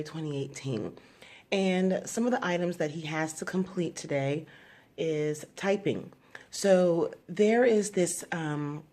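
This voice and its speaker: A woman with a notably low voice hosts her own home vlog. She lays out her son's homeschool plan calmly and step by step, often pausing on fillers as she thinks. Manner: unhurried, explaining step by step with frequent fillers. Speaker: homeschooling mother and channel host. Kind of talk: casual explanatory vlog.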